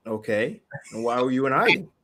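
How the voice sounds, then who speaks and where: A man's voice: mid-range voice, animated, a little fast, fairly bright tone, rough recording. Rambling man hosting a live chat. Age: 30s. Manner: rambling questions with many restarts. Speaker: host. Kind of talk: live-stream interview.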